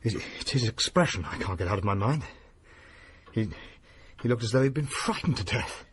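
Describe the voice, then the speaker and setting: An actor playing a jumpy young gentleman. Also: medium pitch, animated, a little fast, background noise, natural voice. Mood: shaken. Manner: earnest, easily alarmed. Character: young gentleman heir, sporty, nervous and excitable. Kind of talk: period radio drama acting.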